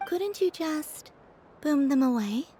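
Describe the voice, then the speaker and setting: A woman playing a calm, curious young goddess in a video game, speaking in an understated, formal, matter-of-fact way. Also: sits high, takes it slowly, background noise. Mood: curious, puzzled.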